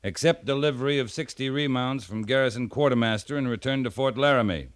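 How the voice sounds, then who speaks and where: An actor playing a stern, seasoned cavalry captain with clipped, dry authority, in 1950s radio western style. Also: male voice, medium pitch, a little fast, clear, resonant tone, close to an everyday voice. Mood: cold, interrogating.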